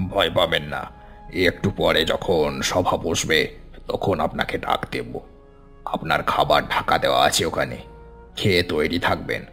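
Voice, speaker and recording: Man acting a slow, creaky, sinister attendant. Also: very deep voice, bright, forceful tone, faint music behind, exaggerated voice. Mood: ominously polite. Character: sinister, commanding male attendant of an eerie gathering. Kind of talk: horror audio story acting.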